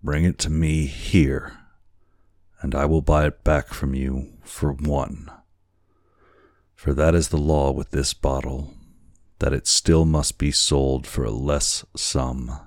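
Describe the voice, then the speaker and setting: A man reads as a resolute Hawaiian storybook hero in despair. His voice is extremely low and unhurried, earnest and emphatic, with formal phrasing. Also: flat delivery, darkish tone, dry recording, clean studio sound. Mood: urgent.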